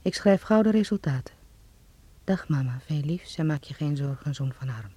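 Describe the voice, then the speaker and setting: A man's voice: on the high side, expressive, moderate pace, warm tone, slightly rough. A man acting in a radio drama as a young son, reading out his reassuring, reflective letters to his mother. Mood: tender.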